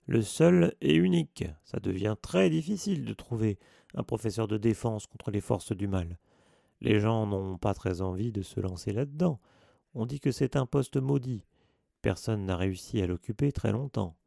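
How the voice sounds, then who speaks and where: A man voicing a huge, gruff, blunt gamekeeper, growling his lines. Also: fairly low pitch, moderate pace, dark tone, dry recording, clean studio sound.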